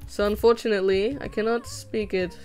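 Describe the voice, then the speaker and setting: A woman's voice: medium pitch, fairly even intonation, brisk, a little mellow, faint music behind. A young woman whose first language was Vietnamese, chatting casually and rambling with lots of fillers and restarts while she animates at home. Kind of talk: casual chat. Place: home room.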